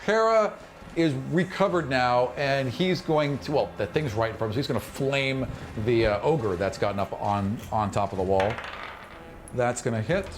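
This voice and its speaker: A man improvising colloquial, animated narration as game master of a tabletop fantasy game. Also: medium pitch, normal speed. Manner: animated, graphic, colloquial storytelling. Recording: noisy room recording. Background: clearly audible background noise.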